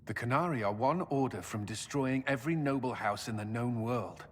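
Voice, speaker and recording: An actor playing a blunt, duty-bound commander in a fantasy game, firm and earnest. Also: male voice, mid-range voice, brisk, lightly acted. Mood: grave. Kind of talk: dramatic fantasy video game acting. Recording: long hall reverb.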